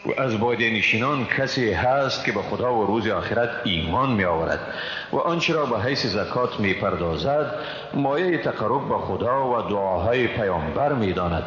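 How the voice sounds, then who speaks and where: A man with an Afghan Dari accent reading a scripture translation in a formal, solemn, measured way. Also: lowish voice, lively intonation, a bit bright. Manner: solemn, measured, careful phrasing. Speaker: translation reader. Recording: long reverb, dull, faint noise.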